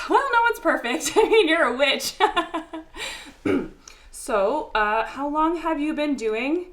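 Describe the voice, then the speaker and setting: An actress playing an awkward, nervous young interviewer who blurts tactless jokes in a comedic audio drama. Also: female voice, high voice, slow pace, fairly bright tone. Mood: nervous, jokey. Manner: blurting, skittish, joking.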